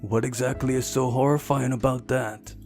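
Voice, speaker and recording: Male reader as skeptical young male game hero, everyday mid pitch, dry and casual. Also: steady tone, normal speed, a little mellow, faint music behind, natural voice. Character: ordinary, skeptical young man, the game's hero.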